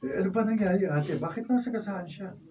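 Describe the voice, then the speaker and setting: An actor playing a supportive, reassuring husband in a Filipino radio drama. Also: male voice, sits high, quick pace, warm tone, dull audio, close to an everyday voice. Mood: concerned. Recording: distant mic, clear background noise.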